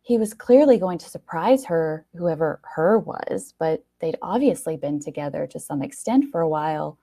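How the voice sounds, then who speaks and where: A woman reading a short story aloud in an expressive, wry first-person narration with dramatic pauses. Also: low-pitched.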